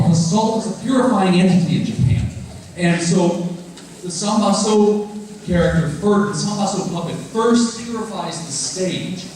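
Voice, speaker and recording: Middle aged guy on a stage explaining the show to the audience, kinda high voice, super echoey. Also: darkish tone. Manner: explanatory, addressing the audience directly with questions. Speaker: professor and puppet troupe leader introducing a show. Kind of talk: spoken stage introduction to an audience. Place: festival stage before an audience. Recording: very echoey, distant mic, faint noise.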